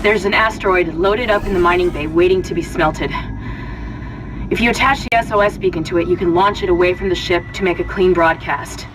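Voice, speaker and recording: An actress playing a stressed, resourceful crew member in a video game, giving urgent, businesslike instructions over a radio link. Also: female voice, fairly low pitch, brisk, noisy background, close to an everyday voice. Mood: urgent, determined.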